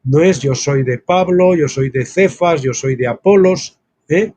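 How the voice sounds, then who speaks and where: A man's voice: fairly high pitch, measured pace, a little mellow, husky, no room sound. A man reading a Bible study book aloud and breaking off into casual asides of his own, like a teacher. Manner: reads text aloud, then breaks off with informal asides.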